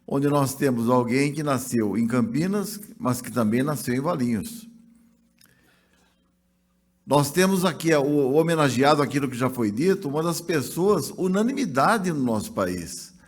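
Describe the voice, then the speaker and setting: A man with a Brazilian accent, a city councilman, giving a reflective ceremony speech that slows on key words. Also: mid-range voice, animated.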